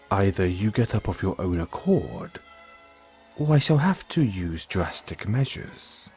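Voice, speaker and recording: Low-voiced, formal butler, played by a man. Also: a little mellow, heavily acted. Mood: polite, firm. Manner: formal, deferential, measured. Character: polite, doting, gently teasing male butler. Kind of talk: scripted audio roleplay.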